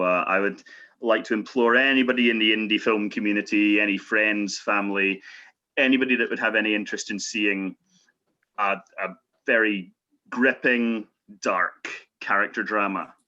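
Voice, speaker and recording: A man with a fairly low voice and a bright, forward edge. He talks casually as a screenwriter guesting on a podcast, in wordy sentences with tongue-in-cheek politeness. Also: normal speed, dull audio.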